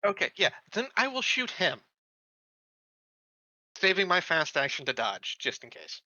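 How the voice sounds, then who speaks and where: A man's voice: high voice, big pitch swings, measured pace, pushed and bright, no echo. A man playing a tabletop game, chatting casually and often repeating his words, on slightly dull audio.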